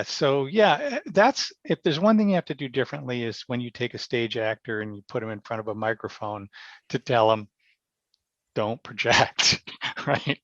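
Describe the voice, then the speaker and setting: A man talking casually as an interview guest, explaining through anecdotes, often with halting starts. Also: medium pitch, fairly bright tone, no echo, dull audio. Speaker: podcast guest, stage actor and director.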